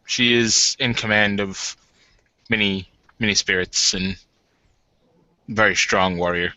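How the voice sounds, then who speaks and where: A man playing a gruff, sardonic werewolf warrior bridegroom in a tabletop game, with a dry, teasing, grumbling manner. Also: lowish voice, steady tone, takes it slowly, sharp tone, dry recording, heavily acted, low-quality recording.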